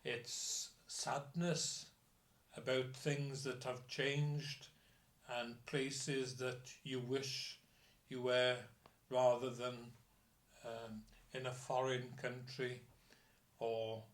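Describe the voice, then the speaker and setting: Slow, measured man in an echoey room. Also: mid-range voice, little pitch movement. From Wales. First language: Welsh. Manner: measured, thoughtful, with pauses and hesitations. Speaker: interviewee. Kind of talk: reflective interview answers. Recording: roomy echo.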